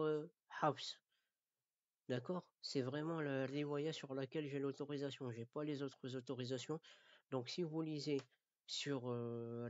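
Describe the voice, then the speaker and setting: A man from France giving an informal lesson as a Quran recitation teacher, halting and repeating his words, often checking that listeners follow. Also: mid-range voice, a little mellow, no echo, lo-fi sound. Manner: didactic, checks understanding. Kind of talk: informal spoken lesson addressed to learners.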